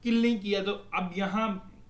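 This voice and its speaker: A man's voice: sits high, steady tone, unhurried. A man with a Pakistani accent giving an explanatory lecture in a didactic way, posing questions and then answering them. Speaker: law lecturer. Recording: echoey, roomy sound.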